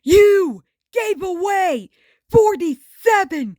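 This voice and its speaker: A woman voicing an excitable, hot-tempered male cartoon chihuahua who speaks emphatically. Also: very high-pitched, very slow, no room sound, studio quality, pitched above the performer's own voice, heavily acted. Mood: furious. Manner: emphatic, hollering insults.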